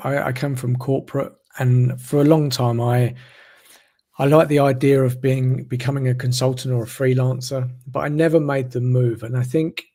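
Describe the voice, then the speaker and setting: A man's voice: mid-range voice, a little mellow. A man hosting a live online interview, rambling and repeating words as he talks. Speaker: host.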